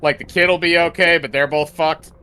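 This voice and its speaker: A man's voice: on the high side, steady tone, fairly quick, bright, forceful tone, smooth. A man co-hosting a livestream with casual, jokey banter and commentary over game music. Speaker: streamer co-host. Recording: music behind.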